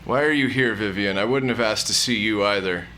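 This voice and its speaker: A man's voice: on the low side, a little fast, sharp tone, slight background noise. An actor playing a bewildered, wry man trapped in a digital afterlife, with a hesitant, dry, naturalistic delivery in a scripted audio comedy. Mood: weary, confused.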